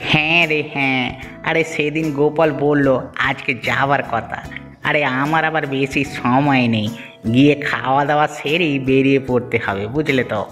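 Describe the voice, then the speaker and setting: A man's voice: medium pitch, fairly even intonation, normal speed, fairly bright tone, natural voice. A man voicing a sly cartoon villager, long reverb. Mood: falsely friendly. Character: sly, falsely friendly village man, a kidnapper. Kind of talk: Bengali fairy-tale cartoon dub. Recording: long reverb, music bed.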